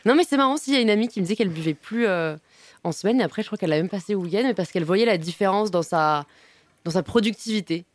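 A woman's voice: medium pitch, fast, dry recording. A young woman with a Parisian accent, a guest talking candidly and animatedly in a casual interview. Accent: Parisian French. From France. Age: young adult. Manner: candid, animated, many fillers and laughs. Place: restaurant wine cellar.

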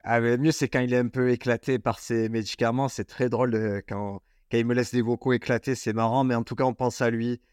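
Chatty French podcast host, dry sound. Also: male voice, mid-range voice, little pitch movement, brisk, few pauses, darkish tone, clean tone, no room sound. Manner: chatty, informal, many fillers. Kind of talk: casual podcast chat.

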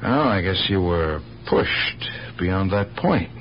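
A regretful police lieutenant in a radio play. His lowish voice moves expressively as he probes with sympathy and hesitation. The recording is dull and slightly hissy. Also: lively intonation. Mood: regretful, probing. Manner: measured, hesitant, sympathetic questioning. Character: calm, regretful, probing male police lieutenant. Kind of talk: radio drama acting. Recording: muffled, hissy old radio broadcast.

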